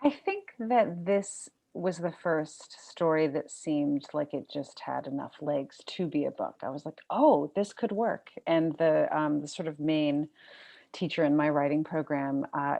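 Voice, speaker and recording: Low, gravelly woman chatting on a panel. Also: a little slow, mellow. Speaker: author on a festival panel. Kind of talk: casual anecdotal panel talk.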